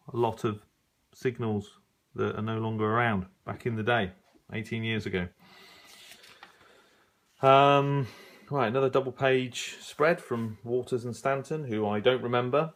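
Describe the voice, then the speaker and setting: A man giving a casual, unscripted review as a hobbyist, hesitantly reading out listings and commenting as he goes. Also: mid-range voice. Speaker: radio hobbyist reviewing an old magazine. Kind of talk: casual unscripted review, leafing through pages.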